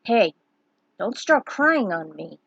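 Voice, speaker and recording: A woman's voice: mid-range voice, sing-song, slow pace, vocal fry, a bit bright, breathy edge, dry recording, muffled recording, heavily acted. A woman voicing a blunt, protective tough man in a story. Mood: scolding, fond.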